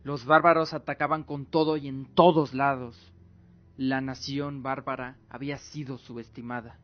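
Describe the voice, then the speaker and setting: A man's voice: mid-range voice, flat delivery, moderate pace, muffled recording. A man reading scripted dramatic narration as the storyteller of an audio drama.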